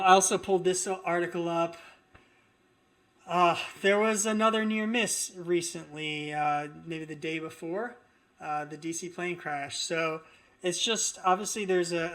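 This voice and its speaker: Male political commentator, higher-than-average voice, indignant and emphatic, unscripted opinion delivery. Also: fairly bright tone. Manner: indignant, emphatic, repetitive phrasing.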